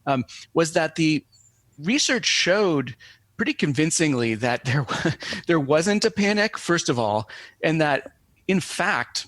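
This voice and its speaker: A man's voice: mid-range voice, fairly bright tone, no echo. A man speaking as an academic interview guest, explaining things in a scholarly, discursive way with frequent self-corrections.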